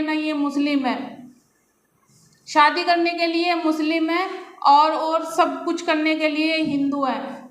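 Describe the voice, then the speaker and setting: A woman's voice: high-pitched, fairly bright tone, roomy sound. A woman giving unscripted opinion commentary in a scolding, argumentative way, often with rhetorical questions. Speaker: commentator on reality show gossip. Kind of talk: unscripted opinion commentary addressed to viewers and contestants.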